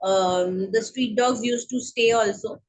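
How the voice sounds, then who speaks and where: A woman with a Bengali accent speaking English as a guest in an interview, slow and hesitant with many fillers. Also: fairly high pitch, steady tone, low-quality recording. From India. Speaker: guest, counselling psychologist. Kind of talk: online interview, casual chat.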